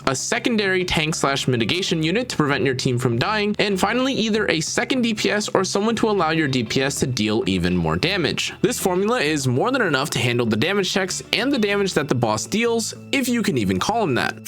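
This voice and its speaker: A man's voice: high voice, fairly bright tone. A man narrating a scripted video game guide in an explanatory way, with emphatic phrasing. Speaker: gaming video creator.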